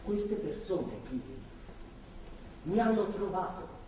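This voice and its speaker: A broken, remorseful man confessing and pleading in a stage drama. His voice is high for a man and halting, heard from a distance in a very echoey hall. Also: expressive, warm tone. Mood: anguished, pleading. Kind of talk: live stage drama acting. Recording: distant mic, echoey theatre hall, faint noise.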